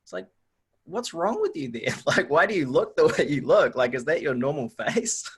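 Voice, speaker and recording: A man's voice: fairly high pitch, lively intonation, normal speed, fairly bright tone, husky. A man in his forties with a New Zealand accent, chatting in an easygoing, anecdotal way as an interview guest. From Auckland, New Zealand. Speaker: podcast guest, nutrition researcher. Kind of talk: casual chat, anecdotal.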